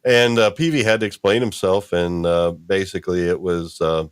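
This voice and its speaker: Male host, low register, dry close sound, informal rambling fan commentary. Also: moderate pace, clear, resonant tone, no echo. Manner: chuckling, rambling fan talk. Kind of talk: casual live-stream discussion.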